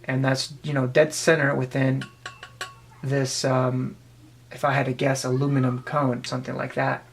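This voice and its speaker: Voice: mid-range voice, little pitch movement, normal speed, creaky. Explanatory presenter, noisy recording. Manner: thinking aloud, explanatory. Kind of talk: casual hands-on demonstration commentary.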